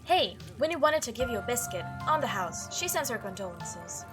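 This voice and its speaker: A kindly diner server from a visual novel, acted out by a woman, friendly and slightly awkward. The high voice moves up and down expressively and a little hesitantly over background music. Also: big pitch swings, normal speed. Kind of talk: visual novel character read aloud.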